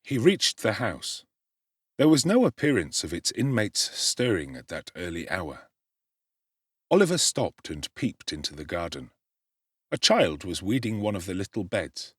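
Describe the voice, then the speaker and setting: A male actor giving an expressive, theatrical reading as an audiobook narrator. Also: low voice, measured pace, husky, dry recording, clean studio sound.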